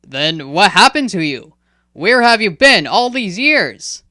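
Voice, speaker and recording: A woman voicing a calm, serious man in robes who speaks earnestly and urgently. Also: deep voice, moderate pace, smooth, no echo, studio quality.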